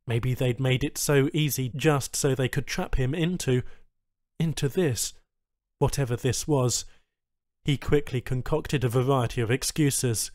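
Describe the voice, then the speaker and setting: A man's voice: medium pitch, unhurried, a little mellow, no room sound, studio quality. A man reading a science fiction short story as scripted audiobook narration.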